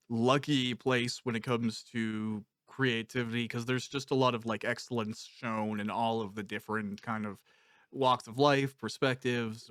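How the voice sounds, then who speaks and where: A man's voice: mid-range voice, fairly bright tone, no echo, studio quality. A man hosting a casual interview, talking in an informal, rambling way as he thinks aloud. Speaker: interviewer, podcast host.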